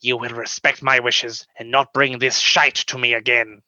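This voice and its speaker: A man acts an aloof, proud alien officer for a sci-fi radio play. His voice is very bright and forceful at a middling pitch, with formal, clipped and dismissive phrasing. The audio is slightly dull. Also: flat delivery, moderate pace. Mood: haughty, dismissive. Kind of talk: sci-fi radio drama dialogue.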